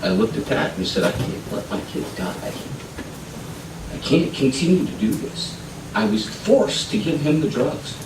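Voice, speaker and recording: A man from Colorado giving earnest, pleading testimony at a legislative public hearing, building to emphatic appeals. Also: a little high. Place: legislative committee hearing room. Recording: echoey hearing room, distant mic, noisy.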